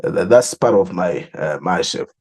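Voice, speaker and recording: A middle-aged man with a Malawian accent, explaining his work in an interview in a deliberate way, repeating phrases for emphasis. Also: sits low, lively intonation, darkish tone, husky. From southern Malawi. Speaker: religious leader and mediator interviewee.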